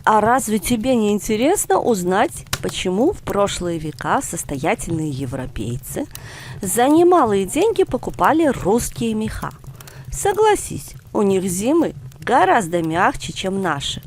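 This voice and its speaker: Storybook pensioner grandad, played by a female narrator: learned, teasing, mock-formal, very expressive delivery. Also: medium pitch, measured pace, slight background noise. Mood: instructive. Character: bookish, ironic, bald pensioner grandfather. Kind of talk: audiobook character dialogue.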